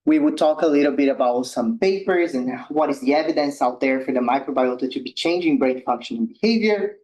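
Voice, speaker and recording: A young man from Brazil with a Brazilian Portuguese accent, giving a relaxed science talk as a postdoctoral researcher, with ums and slightly loose non-native phrasing. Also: mid-range voice, fairly quick, poor audio. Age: young adult. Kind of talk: informal science presentation.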